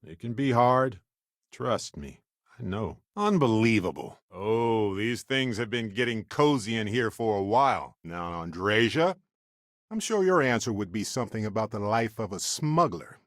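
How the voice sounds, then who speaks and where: A man's voice: on the low side, expressive, a little slow, no room sound, studio quality. A man voicing a wry, good-hearted space explorer companion in a video game, conversational and earnest. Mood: wry, easygoing.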